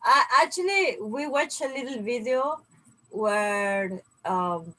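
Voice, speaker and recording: A woman's voice: a little high, slow pace, poor audio. Woman from Serbia and Peru. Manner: storytelling, animated, laughs often. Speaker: co-host, inventor. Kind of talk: casual conversation.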